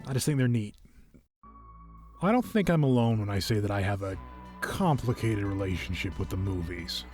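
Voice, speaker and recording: A man's voice: lowish voice, animated, normal speed, a little mellow. Enthusiastic young man, casual narration. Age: young adult. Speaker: video host, toy collector. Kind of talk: scripted review narration, conversational. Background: music.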